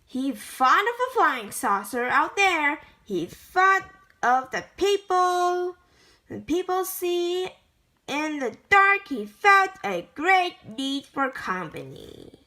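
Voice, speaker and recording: High-pitched woman reading slowly and haltingly. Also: big pitch swings, pushed and bright. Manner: halting, stumbling word-by-word reading. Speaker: amateur story reader. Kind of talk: children's picture book read-aloud.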